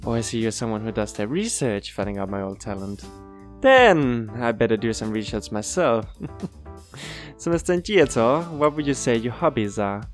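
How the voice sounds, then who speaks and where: An actor playing a courteous, lightly teasing school interviewer in an audio drama, formal and measured. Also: male voice, mid-range voice, expressive, soft background music, close to an everyday voice. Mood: amused. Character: courteous, teasing male school interviewer, former talent scout.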